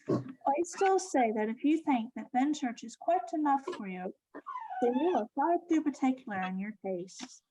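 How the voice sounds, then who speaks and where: A woman's voice: high voice, animated, unhurried, dark tone, slight background noise, poor audio. A woman playing a motherly, teasing innkeeper in a radio play, fussy and amused in manner. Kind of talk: stage radio play acting.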